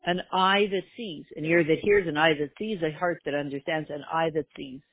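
A woman's voice: low voice, fairly even intonation, normal speed, slightly rough, no room sound. A woman giving a religious class, explaining with rhetorical questions and everyday examples. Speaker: Torah teacher. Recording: tinny phone-like line.

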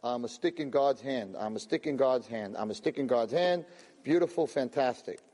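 A man giving a lively Torah lecture to a live audience, acting out pleading voices and mixing in Hebrew terms. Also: mid-range voice, moderate pace. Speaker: rabbi lecturer. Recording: long reverb of a hall.

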